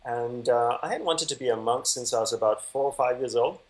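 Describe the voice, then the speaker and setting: A man speaking at a middle pitch, unhurried and measured, as he answers interview questions over a video call. His telling is calm and even. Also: low-quality recording. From Malaysia. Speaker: podcast guest.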